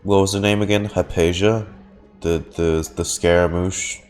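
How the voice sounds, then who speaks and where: A man's voice: deep voice, steady tone, moderate pace, a little mellow. A man giving casual, rambling live reactions to a game, reading lines aloud with wry asides. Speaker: game streamer. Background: game music.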